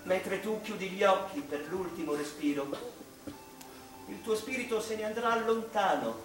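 A male actor plays an anguished, reflective mathematician in a radio drama. The voice is high for a man and sits back in a roomy space with music behind it. He speaks slowly and theatrically, pausing between short phrases. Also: natural voice. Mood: pensive. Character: anguished, reflective English mathematician recalling his life. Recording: roomy, distant stage-like sound, music behind.

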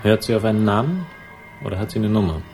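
An actor playing a cynical, brusque investigator in a 1960s German radio crime drama, dry and sardonic. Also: male voice, lowish voice, lively intonation, fast, darkish tone, soft background music. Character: cynical hard-boiled male investigator, wry and brusque.

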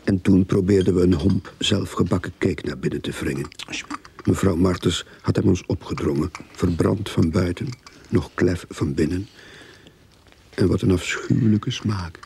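Gravelly, deep detective-story narrator. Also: male voice, moderate pace, warm tone, slight background noise. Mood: wry. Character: wry, observant male detective's sidekick narrating. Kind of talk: first-person radio play narration.

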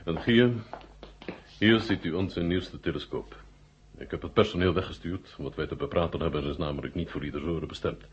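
An actor playing a courteous, shrewd Soviet marshal in a radio drama, speaking in a measured, formal, self-assured way. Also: male voice, rumbling low, husky, exaggerated voice. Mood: grave, explaining. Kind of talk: 1970 Dutch radio drama acting. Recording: dull 1970 radio recording.

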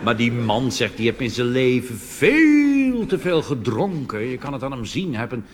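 A Dutch man doing a cabaret monologue on stage, delivering deadpan punchlines and jumping into animated put-on voices. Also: medium pitch, normal speed. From Netherlands. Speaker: cabaret comedian. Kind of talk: stand-up monologue. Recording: reverberant hall with audience noise.

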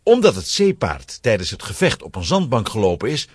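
A man's voice: fairly low pitch, wildly swooping pitch, brisk, husky, no echo, studio quality. A man narrating a scripted children's story with dramatic suspense.

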